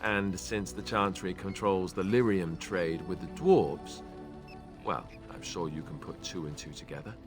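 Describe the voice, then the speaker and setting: An actor playing a wry, self-deprecating warrior in a video game, explaining things with dry sarcastic asides. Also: male voice, deep voice, measured pace. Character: wry young male ex-Templar warrior, sardonic, self-deprecating. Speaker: former Templar. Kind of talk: video game character dialogue. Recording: prominent music behind the voice.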